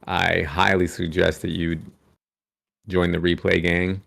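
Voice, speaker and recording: A man's voice: sits low, little pitch movement, a little slow, vocal fry, a bit bright. A man guesting on a casual podcast, joking around and plugging his own channel and crypto tips. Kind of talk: casual livestream podcast chat.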